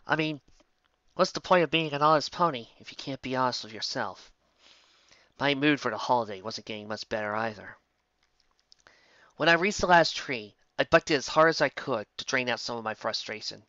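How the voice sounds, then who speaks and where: Story reader: bright tone, dry, dull lo-fi home recording. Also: medium pitch, moderate pace, no room sound. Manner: plain, steady reading with small stumbles. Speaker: fan fiction reader. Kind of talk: first-person story reading.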